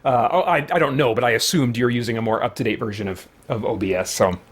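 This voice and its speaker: A man's voice: mid-range voice, fast talker. A man hosting a live stream with casual, rambling, self-deprecating chat.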